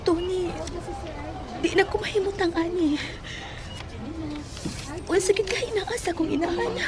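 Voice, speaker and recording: A woman's voice: very high voice, a little slow. A woman playing a frightened young woman in a horror audio drama, speaking with a Cebuano accent. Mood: anxious, fearful.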